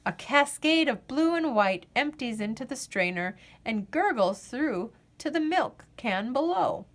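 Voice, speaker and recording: A woman narrates a children's picture book at an unhurried pace. Her pitch sits on the high side and moves up and down freely, and she phrases each line deliberately, stretching out the refrains. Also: very animated. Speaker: storyteller reading a children's picture book aloud. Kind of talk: expressive children's read-aloud narration.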